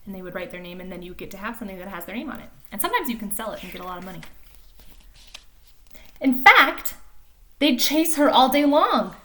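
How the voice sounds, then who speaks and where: A woman reading a picture book aloud to young children in an animated way, pausing to explain and ask questions like a teacher. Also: mid-range voice. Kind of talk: expressive storybook read-aloud with chatty explanations to children.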